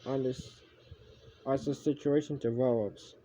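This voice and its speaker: A man improvising homemade mock emergency broadcast announcements, haltingly, often repeating and correcting himself. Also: medium pitch, steady tone, dark tone. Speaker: amateur narrator of a homemade emergency alert scenario. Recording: muffled homemade recording with background noise.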